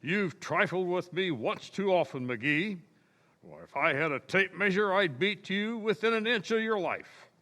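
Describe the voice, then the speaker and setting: A pompous, easily exasperated neighbor from an old radio comedy. He is high-voiced and slow, puffed up with theatrical indignation. It is recorded in an echoey stage hall. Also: lively intonation, pushed and bright, full character voice. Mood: exasperated, indignant. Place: community playhouse stage, live recreation.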